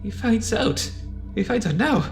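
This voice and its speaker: A man's voice: high-pitched, lively intonation, a little slow, faint music behind. A man playing an anxious, defensive scientist in an audio drama, hesitant and stammering as he pleads. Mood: pleading, defensive. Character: anxious, defensive male scientist pleading his good intentions.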